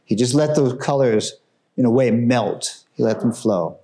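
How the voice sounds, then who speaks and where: A man giving an informal, enthusiastic talk about artworks in a museum room, growing hushed and solemn at the tragic pieces. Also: medium pitch, measured pace. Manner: enthusiastic, conversational, drops his voice for solemn works. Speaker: museum guide presenting artworks. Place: museum gallery room, indoors.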